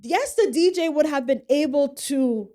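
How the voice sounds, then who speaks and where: A woman's voice: high-pitched, moderate pace. Haitian-accented woman telling stories. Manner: storytelling, animated quoting. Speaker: podcast co-host and bride. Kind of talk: casual podcast chat.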